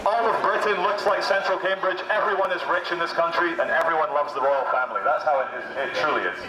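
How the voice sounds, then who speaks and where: A man's voice: on the high side, fast talker, echoey room, distant mic, crowd noise, low-quality recording. A man in his thirties doing sarcastic street satire through a megaphone outdoors in a busy city street. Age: 30s. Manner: mock-patriotic, sarcastic, declaiming. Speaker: street activist comedian. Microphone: megaphone, filmed by handheld camera.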